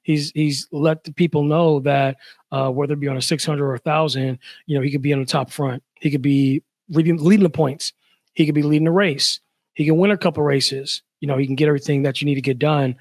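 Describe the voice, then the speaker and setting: A man from the Bronx with a somewhat raised pitch, chatting as a podcast guest. He strings his thoughts together loosely, leaning on fillers. The sound is dry. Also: steady tone, brisk, a little mellow, dry recording. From the Bronx, New York. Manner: chatty, fills his talk with "you know" and "uh". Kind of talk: casual podcast chat.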